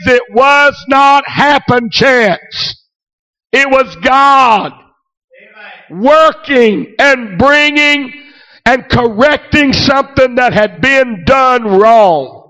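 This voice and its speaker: A hoarse preacher, raspy from a week of preaching, delivering a fervent sermon that he punctuates constantly with amen and hallelujah. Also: very high-pitched. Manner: peppers every phrase with amen and hallelujah, bursts into shouts. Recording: dull lo-fi recording.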